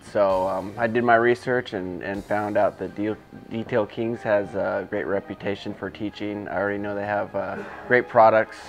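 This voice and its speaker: Confident low-voiced man from California. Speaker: auto detailing student. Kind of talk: testimonial interview. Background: soft background music.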